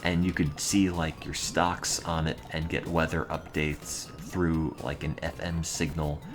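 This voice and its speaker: A man's voice: a bass voice, flat delivery, measured pace. A young adult man streaming and answering his chat in a dry, rambling, offhand way. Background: music playing.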